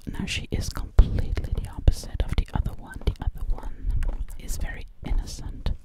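A woman voicing a sly, menacing witch in a close-miked ASMR roleplay, in a breathy whisper, lingering on and repeating words. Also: moderate pace, lightly acted. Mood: scheming. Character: sly, menacing witch who hunts victims for their bodies. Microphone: close microphone.

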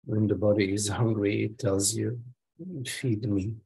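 A man with a very husky, slightly gravelly voice guides a meditation. He speaks very slowly, in short, sparse phrases with long silences between them. His pitch sits on the low side, and his delivery is that of a teacher giving gentle instructions. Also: level tone, low-quality recording.

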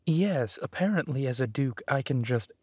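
An actor playing a protective villainous duke, tender and soothing, in an intimate audio roleplay. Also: male voice, mid-range voice, animated, breathy edge, no room sound. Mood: soft, defensive. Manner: gentle, soothing, unhurried.

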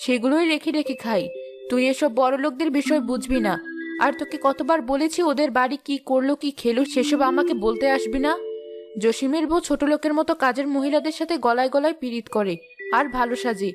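A woman playing a snobbish, quick-tempered village chief's wife who scolds condescendingly in a cartoon. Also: sits high, lightly acted. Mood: scornful. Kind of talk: cartoon dialogue. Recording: music behind the voice.

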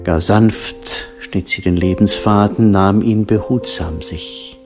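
A man reciting a solemn poetic audio drama in a hushed, breathy manner, with drawn-out phrases. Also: lowish voice, steady tone. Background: new-age instrumental music.

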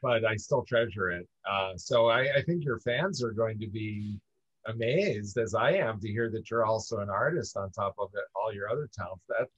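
A man from upstate New York, a guest in a casual interview, talking in an earnest, measured way with light laughs. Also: fairly low pitch, breathy edge, dry recording. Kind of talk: casual video-call interview. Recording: dull muffled dry audio.

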